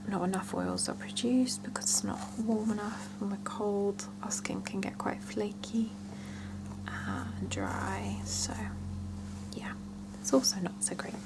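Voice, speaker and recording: Female ASMR performer, soft breathy whisper, creaky edges, gentle salon roleplay chat, unhurried. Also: mid-range voice, animated. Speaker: ASMR performer playing a salon scalp therapist.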